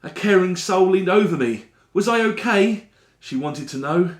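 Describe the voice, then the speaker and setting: A man performing a rhyming dramatic monologue in a theatrical, deliberate storytelling manner. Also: high-pitched, expressive. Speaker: actor reading a monologue. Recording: roomy echo around the voice.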